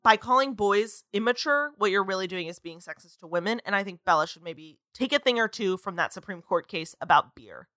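A young woman in her twenties chatting casually on a podcast, with a bright, forceful voice. She leads the talk and tells stories with energy. The sound is dry and close. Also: mid-range voice, fairly quick, few pauses, no room sound. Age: 20s. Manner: lively storyteller, leads the discussion. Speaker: podcast co-host.

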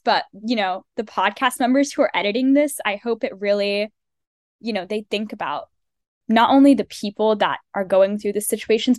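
A young woman hosts a student podcast, asking her questions in an earnest, measured way. Her voice sits in the middle range for a woman. The sound is dry and close, with no room around it. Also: mid-range voice, dry recording. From American South, immigrant. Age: young adult. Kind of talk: podcast interview.

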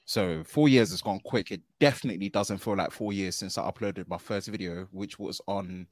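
A man with a fairly low voice talks about his work as a tech creator, animated and slangy, often tacking "man" onto his phrases. The recording is dry, with almost no room sound. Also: normal speed, no room sound. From United Kingdom. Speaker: podcast guest, tech video creator. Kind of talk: casual podcast conversation.

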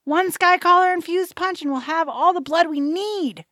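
A woman's voice: extremely high pitch, moderate pace, fairly bright tone, dry recording, clean studio sound. A woman voicing a tiny, cheeky, upbeat adventuring sidekick in an audio drama, perky and teasing. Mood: breezy, encouraging.

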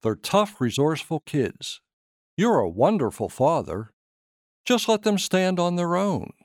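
A male narrator gives voice to a practical, steady wife and mother in an audio story. The delivery is reassuring and expressive, recorded dry. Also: fairly high pitch, measured pace, dry recording, voice pushed up.

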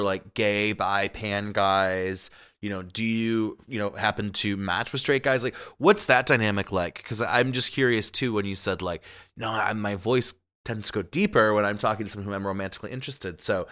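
A male podcast host in casual conversation, animated and full of fillers, asking curious questions. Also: fairly low pitch, normal speed, hardly any pauses, clean tone.

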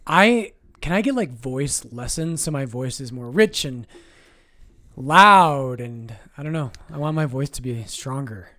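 Relaxed priest, dry mic. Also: male voice, mid-range voice, a little slow, no echo. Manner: relaxed, explains doctrine with jokes and self-corrections. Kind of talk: casual podcast chat.

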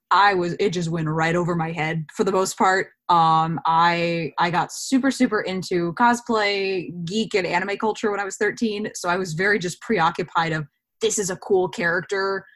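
A woman in her twenties chatting casually as an interview guest, talking in a reflective, think-out-loud way. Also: lowish voice. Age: 20s.

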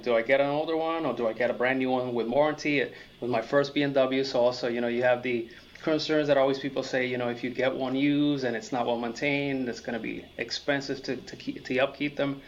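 A man from Puerto Rico telling personal stories in a casual, conversational way as an interview guest and car enthusiast. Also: medium pitch. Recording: dull lo-fi audio.

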